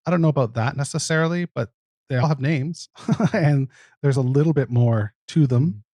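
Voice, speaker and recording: A man's voice: mid-range voice, normal speed, warm tone, no echo. A man speaking casually as a guest producer in a podcast discussion.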